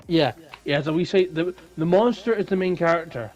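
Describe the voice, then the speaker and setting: A man's voice: a little high, moderate pace, a little mellow, lo-fi sound. A man co-hosting a podcast in casual, bantering, informal chat. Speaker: podcast co-host. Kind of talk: casual chat, giving clues.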